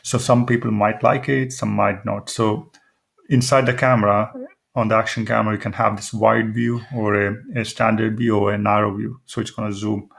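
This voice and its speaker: A man presenting an informal tech tutorial, explaining things step by step in a halting, hesitant way. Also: fairly low pitch, flat delivery, creaky, fairly bright tone. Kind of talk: informal screen-demo tutorial.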